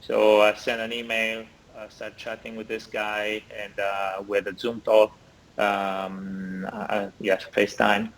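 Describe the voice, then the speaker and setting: A man's voice: fairly low pitch, level tone, slow, fairly bright tone, poor audio. A man in his forties from Italy with an Italian accent, chatting as an interview guest over a video call, hesitant with many fillers and restarts. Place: indoor room. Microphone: laptop or phone camera on video call.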